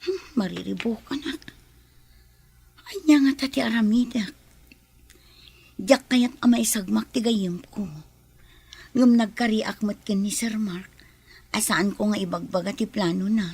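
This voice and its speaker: A woman's voice: mid-range voice, unhurried, gravelly, pauses often, darkish tone, breathy edge. A woman acting a cautious, worried, loyal employee in an Ilocano radio drama, with an Ilocano Filipino accent. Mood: torn, worried.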